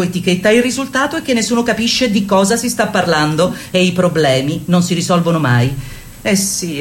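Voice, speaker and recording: A woman's voice: medium pitch, natural voice. An actress playing an assertive female therapist who leads a women's group in a didactic, declamatory way in a radio play. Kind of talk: theatrical radio play monologue.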